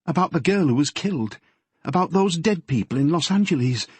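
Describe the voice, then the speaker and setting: Audiobook figure: male narrator voicing a scared teenage girl, pitched high, breathless, husky. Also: lively intonation, a little fast, a little mellow, no room sound. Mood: anxious. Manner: shaky, pleading, breathless. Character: frightened tearful teenage girl, a policeman's daughter.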